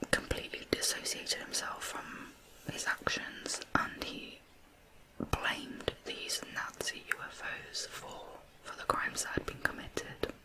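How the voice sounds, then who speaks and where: A woman narrates true crime in ASMR style, in a soft, breathy, unhurried whisper. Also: rough recording.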